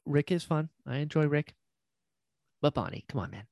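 A man's voice: on the high side, normal speed, a little mellow, no echo, clean studio sound. A man hosting a casual interview, talking in an enthusiastic, gushing way and teasing playfully. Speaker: podcast host and voice actor.

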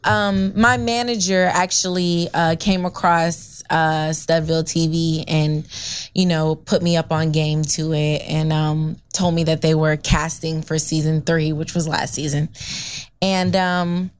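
A woman's voice: low-pitched, level tone, unhurried, smooth. A young adult woman, a guest actress, chatting in a friendly, giggly way with lots of fillers. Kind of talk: casual interview.

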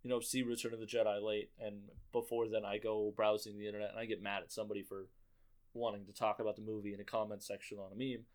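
A man's voice: fairly low pitch, flat delivery, fast talker, a little mellow. Laid-back male podcast co-host. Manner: laid-back, profane, laughing asides. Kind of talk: casual chat.

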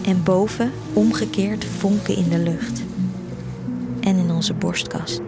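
A woman's voice: mid-range voice, fairly even intonation, slow pace, vocal fry. A woman reading a literary first-person story as the narrator of an audio drama. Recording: music behind the voice. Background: music.

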